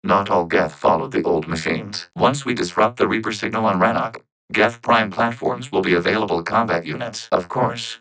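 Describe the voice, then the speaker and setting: An actor playing a calm, logical synthetic robot in a video game, speaking in measured, formal phrases through robotic voice processing. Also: male voice, low-pitched, no room sound, rough recording. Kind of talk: video game character dialogue.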